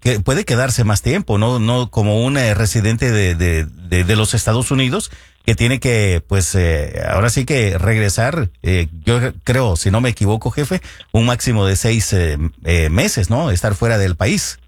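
A man's voice: fairly low pitch, animated, a little slow, dry recording. A man hosting a radio advice show, who asks questions hesitantly and defers to his guest. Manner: hesitant, many "eh" fillers, deferential.

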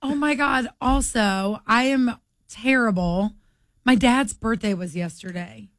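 A woman's voice: on the high side, unhurried, fairly bright tone, dry recording. A woman, co-hosting morning radio and telling stories with laughter. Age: late 30s. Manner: laughing, storytelling, quoting herself. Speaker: radio morning show co-host. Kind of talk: casual on-air banter.

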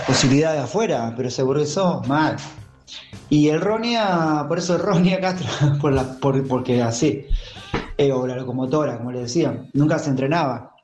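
A man giving a hesitant, slow opinion with some amusement. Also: mid-range voice, darkish tone, roomy sound. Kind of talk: casual opinion.

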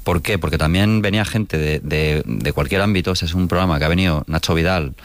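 A man's voice: low voice, a little fast, no room sound. A man, a show writer and guest, talking in an easygoing, agreeable way. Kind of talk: radio conversation. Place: radio studio.

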